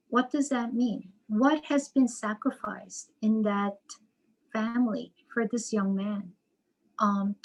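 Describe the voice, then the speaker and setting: A woman author and psychotherapist answering interview questions in a measured, reflective way over a video call. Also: mid-range voice, darkish tone, poor audio. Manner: slow, measured, thoughtful with repetitions.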